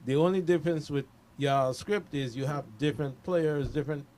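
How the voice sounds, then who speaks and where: A man hosting a casual radio interview, speaking haltingly and repeating phrases as he goes. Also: fairly high pitch, darkish tone, a little hiss or hum. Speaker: radio host. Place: radio studio.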